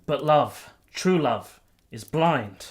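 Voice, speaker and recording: An actor playing a lovestruck, conflicted nineteenth-century man in a radio drama, speaking in earnest, measured, literary phrases. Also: fairly high pitch, lively intonation. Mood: tender, intimate. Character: Giorgio, lovestruck, conflicted 19th-century Italian man, confessional narrator. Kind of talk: radio drama narration and intimate dialogue.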